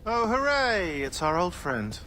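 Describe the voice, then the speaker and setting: A man's voice: sits high, big pitch swings, measured pace. An actor playing a chatty, flirtatious man in a video game, playfully sarcastic. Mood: cheery, defensive. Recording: clear background noise.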